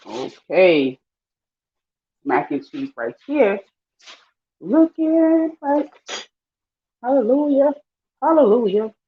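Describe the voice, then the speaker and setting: A woman's voice: slow, long pauses. Chatty Panamanian woman, lo-fi audio. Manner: lively, chatty, slangy, swings high when excited. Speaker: vlogger. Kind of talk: casual live-stream chat while cooking. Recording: dull lo-fi audio.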